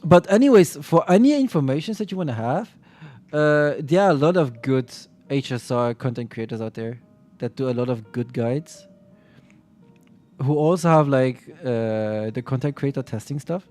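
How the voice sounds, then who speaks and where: A man's voice: mid-range voice, expressive, moderate pace, a little mellow, soft background music. A man streaming and chatting casually about a game, rambling with frequent restarts and self-corrections.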